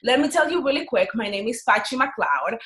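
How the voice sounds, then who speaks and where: A woman with a Dominican Spanish accent giving an excited, informal motivational talk to camera as a business coach. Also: fairly high pitch, brisk. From Dominican Republic. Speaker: business coach and course creator. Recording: echoey, roomy sound.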